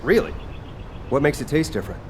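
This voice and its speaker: Expressive easygoing game hero. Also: male voice, a little high, a little fast, noisy background. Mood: surprised, curious. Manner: casual, expressive. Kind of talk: video game voice acting. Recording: game music underneath.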